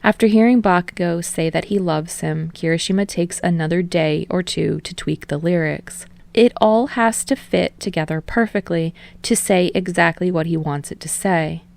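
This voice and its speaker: A woman's voice: on the low side, normal speed, creaky, hardly any pauses, a little mellow, no room sound. A woman reading fiction aloud as scripted audiobook narration.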